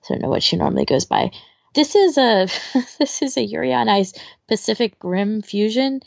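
A woman's voice: a little high, expressive, moderate pace, vocal fry, no room sound, highs cut off. A woman in casual, informal chat as a podcast co-host, rambling and hesitant as she gives recommendations, often trailing off. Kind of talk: casual chat, recommending fan fiction audio.

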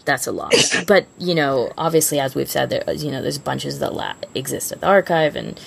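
A woman's voice: low-pitched, fairly quick, creaky, husky. A woman co-hosting a podcast in casual, unscripted chat. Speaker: podcast co-host.

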